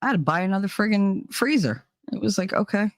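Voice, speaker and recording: A woman's voice: medium pitch, expressive, a little fast, no echo. Blunt middle-aged American woman, close dry sound. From New Jersey, United States. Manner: blunt, sweary, teasing. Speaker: live talk show host. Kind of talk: casual chat with listeners.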